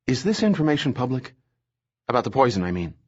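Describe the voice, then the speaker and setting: A persistent woman police captain presses with short, businesslike questions, read by a man in his own low voice. The delivery stays even and clipped. The audio is a bit dull and lo-fi. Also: quick pace.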